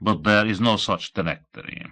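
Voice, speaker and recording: An immense French hotel concierge, played by a male narrator, speaks with a French accent in a low-pitched storybook performance. He is pompous and florid, dignified and slyly flattering. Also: normal speed, no room sound. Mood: dispassionate.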